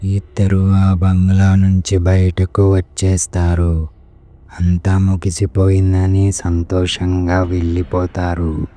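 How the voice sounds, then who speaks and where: A man's voice: deep voice, completely flat delivery, slow, mellow. A man narrating a scripted horror story as its storyteller. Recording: music behind the voice.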